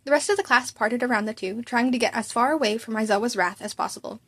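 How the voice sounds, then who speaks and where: A woman with a fairly high voice narrates a story. She reads informally, like a hobbyist, with the odd stumble. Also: fast, a bit bright. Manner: informal, stumbles and rereads lines. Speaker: amateur fan fiction narrator. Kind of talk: story narration with character dialogue.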